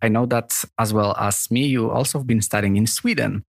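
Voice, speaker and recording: A man's voice: medium pitch, brisk, creaky, dry recording, clean studio sound. A man hosting a podcast, speaking English as a with as his first, introducing and asking questions in earnest, slightly formal phrasing with hesitations. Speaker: podcast host. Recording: faint music underneath.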